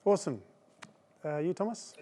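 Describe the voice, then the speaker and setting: Mellow Australian man hosting a webinar. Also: fairly high pitch, animated, moderate pace. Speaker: webinar host. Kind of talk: conversational presenting to an online audience.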